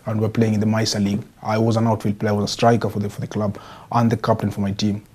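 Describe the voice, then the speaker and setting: Kenyan goalkeeper guest, young man, stumbles and restarts a lot, mellow tone. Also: fairly low pitch, monotone, racing. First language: Swahili. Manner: hesitant, many repeated words and restarts. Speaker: professional goalkeeper, interview guest. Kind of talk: TV interview answers.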